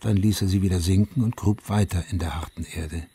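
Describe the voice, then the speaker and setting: A middle-aged war veteran looking back in a literary radio drama. His voice is dark, low and very husky, and he tells it in a measured, subdued way, haunted and worn. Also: steady tone, exaggerated voice. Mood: somber, reflective. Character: weary, haunted war veteran father telling his story. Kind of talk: literary first-person radio drama narration.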